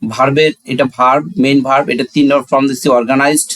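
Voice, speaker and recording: A man's voice: mid-range voice, fairly even intonation, fast, a bit bright, poor audio. A man with a Bangladeshi accent teaching a lesson step by step, repeating key phrases and asking questions that he answers himself. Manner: didactic, asks and answers own questions. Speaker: English grammar teacher. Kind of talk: classroom-style lesson explanation.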